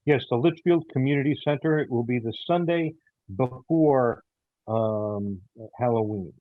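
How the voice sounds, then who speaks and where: A man giving casual, measured, halting answers in an interview, over thin phone-like audio. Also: mid-range voice, dry recording. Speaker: show writer.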